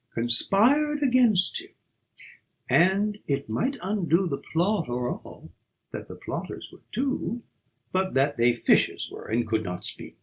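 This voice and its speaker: Male audiobook reader for classic verse: mid-pitched, very animated intonation, unhurried, declamatory delivery with deliberate pauses. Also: rough recording. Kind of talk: dramatic poetry recitation.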